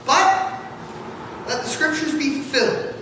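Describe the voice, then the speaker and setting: A man's voice: very high voice, measured pace, sharp tone, exaggerated voice. A man reading the words of Jesus as a solemn, resolute, declamatory figure in a scripture story. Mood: reproachful. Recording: echoey, noisy hall from far off.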